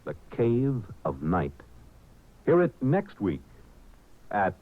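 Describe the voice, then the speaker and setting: A man's voice: fairly low pitch, huge pitch swings, takes it slowly. A man announcing from a script in dramatic 1950s radio style. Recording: narrow-band vintage radio recording.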